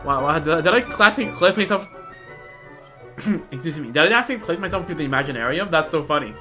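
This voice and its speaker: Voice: mid-range voice, animated, fast, music in the background. A game streamer chatting casually, thinking aloud in short reactions over game audio. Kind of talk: casual livestream commentary.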